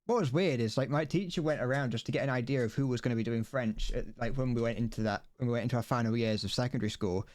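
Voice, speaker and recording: A man's voice: medium pitch, quick pace, hardly any pauses, darkish tone, no room sound. Rambling young man, dry close sound. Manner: rambling, self-correcting, stumbles over words. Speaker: streamer. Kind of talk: casual livestream chat while building a model kit.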